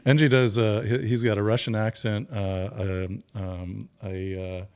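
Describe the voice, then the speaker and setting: A middle-aged man hosting a casual podcast interview, relaxed and quick with short affirmations. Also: fairly low pitch, clean tone. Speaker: podcast host.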